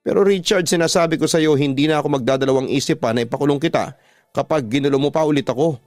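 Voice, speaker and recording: A man's voice: on the high side, fast talker, a little mellow, dry recording, soft background music, lightly acted. A Filipino man voicing a defiant, wounded young woman in a radio drama, indignant and emphatic. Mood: firm, threatening.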